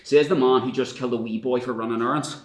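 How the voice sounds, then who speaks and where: A man's voice: mid-range voice, steady tone, fast talker, natural voice. A man playing an exasperated, commanding paramilitary leader in a screenplay table read. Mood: angry, exasperated. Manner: commanding, sweary, urgent. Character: exasperated paramilitary leader struggling to keep control. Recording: echoey room.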